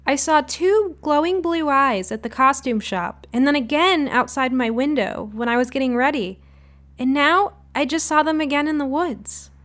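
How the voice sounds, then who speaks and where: A woman voicing an excitable teenage girl in an audio short story, urgent and exclaiming. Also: sits high, moderate pace, voice pushed up. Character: excitable teenage girl heroine on Halloween night.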